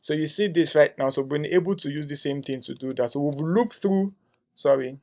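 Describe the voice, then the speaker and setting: Man talking through code, slightly high. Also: a little fast, darkish tone. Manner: thinks aloud while typing, frequent "um" and tag "right?". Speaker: coding instructor. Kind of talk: screen-share coding tutorial.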